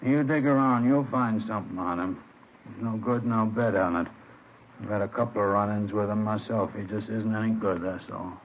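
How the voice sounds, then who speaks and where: An American actor playing a spiteful, small-minded neighbor with an insistent, gossipy manner in a 1950s radio crime drama. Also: male voice, on the low side, moderate pace, natural voice. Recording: tinny, noisy old radio broadcast recording.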